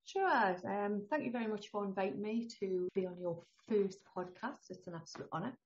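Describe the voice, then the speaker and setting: A middle-aged to older woman with a northeast English accent, a holistic healthcare guest talking in a chatty, animated way and laughing often. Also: mid-range voice, fairly quick. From northeast England. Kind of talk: conversational interview. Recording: dull lo-fi sound.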